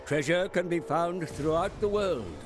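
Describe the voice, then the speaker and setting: An actor playing a grave pirate officer in a video game, reporting in a formal, portentous, theatrical way. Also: male voice, fairly high pitch, lively intonation, measured pace. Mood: grave, urgent. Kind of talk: dramatic video game narration. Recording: game music over voice.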